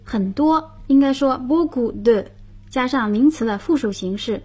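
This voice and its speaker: A woman with a high, slightly husky voice hosts a radio lesson. She explains grammar step by step in a patient teaching tone. She prompts her partner for each practice sentence. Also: animated, a little slow, a little mellow. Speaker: radio language lesson host. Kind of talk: instructional radio hosting.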